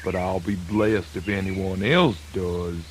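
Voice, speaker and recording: Sly old terrapin voiced by a male actor, low and very unhurried, courteous Southern drawl, children's radio play with light background noise. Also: steady tone. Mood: placid, folksy. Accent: Southern American. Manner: deliberate, folksy, courteous. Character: slow, dignified, sly old male terrapin in a folk tale. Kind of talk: children's radio drama acting. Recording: noisy old radio drama recording.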